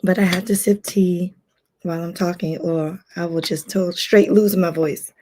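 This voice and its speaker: A hoarse woman, a life coach, hosting a casual livestream and greeting viewers by name. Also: fairly low pitch, steady tone, normal speed, darkish tone, a little hiss or hum.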